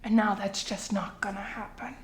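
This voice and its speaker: A woman's voice: medium pitch, little pitch movement, normal speed, voice pushed down, natural voice. A woman voicing an upset, insistent middle-aged husband and father in a comic stage monologue. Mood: aggrieved. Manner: insistent, aggrieved, repetitive. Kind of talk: character quote in comic monologue. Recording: echoey room.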